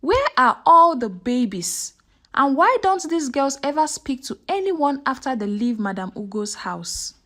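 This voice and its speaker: A woman's voice: sits high, big pitch swings, a little slow, fairly bright tone, full character voice. A woman storyteller voicing a concerned, suspicious elderly village woman with an urgent, questioning manner.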